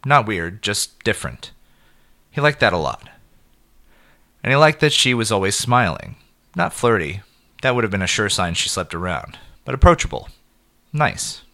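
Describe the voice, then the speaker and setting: A man narrating a scripted short story in an expressive, measured way with clipped phrases. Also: lowish voice.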